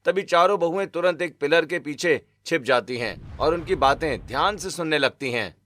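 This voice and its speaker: A man's voice: a little high, expressive, normal speed, fairly bright tone. A man giving scripted narration for a cartoon family story.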